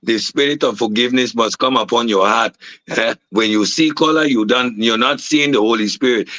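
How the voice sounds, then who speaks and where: A man's voice: mid-range voice, moderate pace, a bit bright, dry recording, lo-fi sound, lightly acted. A man putting on the Nigerian accent of a preacher who talks in a formal, pleading sermon manner. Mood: solemn imploring. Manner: preachy, formal, quotes scripture. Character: earnest male Nigerian preacher, solemn and pleading.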